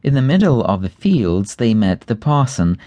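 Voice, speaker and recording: Male audiobook narrator for fairy tales, lively intonation, dry studio sound. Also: medium pitch, moderate pace, dry recording, highs cut off. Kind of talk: scripted fairy tale narration.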